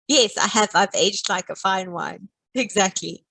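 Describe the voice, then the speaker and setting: A woman's voice: mid-range voice, measured pace, gravelly, bright, forceful tone, dry recording, low-quality recording. A woman teaching a live online maths lesson, playful and teasing, walking through each step.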